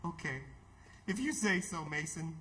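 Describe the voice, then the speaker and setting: An actor playing a distraught, bitter and weary ambulance driver in old-time radio theatre, with an emotional, halting, stammering delivery. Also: male voice, on the high side, animated, sharp tone, slight background noise. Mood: grieving.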